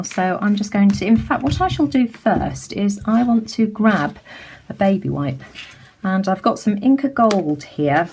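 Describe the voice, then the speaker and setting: A middle-aged British woman with a slightly croaky voice, casually talking through a craft demonstration with lots of ums and restarts. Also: medium pitch, darkish tone, slight background noise. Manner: chatty, many ums and restarts. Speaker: craft tutorial host. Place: home craft table.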